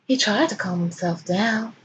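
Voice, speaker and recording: A woman's voice: mid-range voice, measured pace, a bit bright, highs cut off, a little hiss or hum. A woman giving a comic dramatic reading of fan fiction as host, in a theatrical, irreverent manner.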